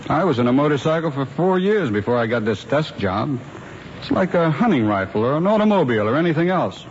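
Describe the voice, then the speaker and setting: An actor playing a firm, skeptical police lieutenant with a dry, probing manner in an old radio drama style. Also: male voice, fairly high pitch, very animated, a little fast. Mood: measured, businesslike. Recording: dull, noisy old radio recording.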